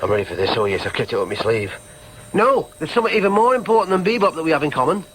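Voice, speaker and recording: An actor playing an earnest, needy grown son in a radio drama, questioning himself emphatically. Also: male voice, on the high side, very animated, rapid-fire, fairly bright tone, slight background noise. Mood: insistent, eager. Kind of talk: radio drama with inner monologue.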